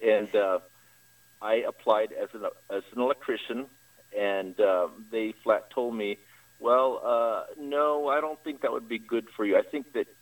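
An American man from Colorado phoning a radio show, telling personal experiences haltingly, with frequent pauses and fillers. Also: on the low side. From Greeley, Colorado. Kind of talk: personal account in a live call. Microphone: phone call to radio show. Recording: distant-sounding radio call-in line.

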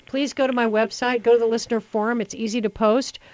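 A woman hosting a call-in radio talk show, chatty and opinionated, talking briskly to callers. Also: a little high, fairly even intonation, no echo. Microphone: studio microphone.

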